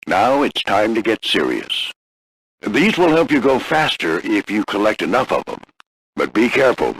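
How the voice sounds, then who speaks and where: An older American man voicing a gruff veteran warplane flight instructor in a video game, husky and folksy, coaching firmly but with encouragement. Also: lowish voice, very animated, normal speed, a bit bright, heavily acted, low-quality recording. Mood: stern instructing. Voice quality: gravelly, husky. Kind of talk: scripted video game character lines.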